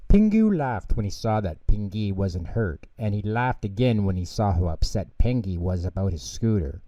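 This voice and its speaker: Dark, mellow-voiced man reading bedtime stories. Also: lowish voice, sing-song, moderate pace, no room sound. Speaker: storyteller, narrator. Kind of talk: expressive children's bedtime story narration. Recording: dull, lo-fi close recording.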